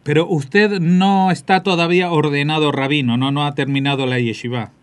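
A man's voice: a little high, fairly even intonation, moderate pace, clean tone. A man hosting a radio program and interviewing a guest, conversational, with some hesitations. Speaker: radio host.

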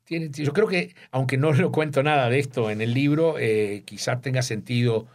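Thoughtful older man, measured speech. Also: medium pitch, darkish tone. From Uruguay. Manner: thoughtful, searching for words, frequent restarts. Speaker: author interviewed about his book. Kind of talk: reflective interview talk.

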